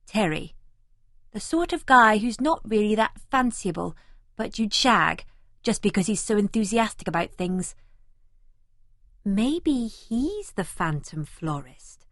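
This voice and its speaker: A woman's voice: medium pitch, sing-song, slow pace, dry recording. A woman reading first-person scripted narration for an audiobook. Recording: remastered audiobook, close and dry.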